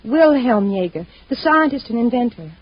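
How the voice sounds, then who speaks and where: A woman's voice: on the high side, animated, normal speed, husky. An actress playing an anxious, earnest young woman in distress, pleading and hesitant, in 1950s radio drama style. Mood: earnest, insistent. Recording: muffled vintage radio recording.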